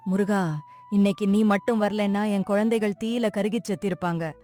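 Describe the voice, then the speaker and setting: A woman's voice: medium pitch, steady tone, brisk, dark tone, faint music behind, lightly acted. A woman playing an emotional, imploring village mother in a moral story. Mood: grateful. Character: desperate, grateful village mother.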